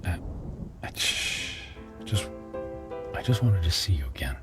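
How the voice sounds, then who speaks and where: An actor playing a remorseful middle-aged businessman in a radio drama, pleading with his ex-wife in a halting, stammering, earnest way. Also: deep voice, sing-song. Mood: weary, pleading. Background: dramatic background music.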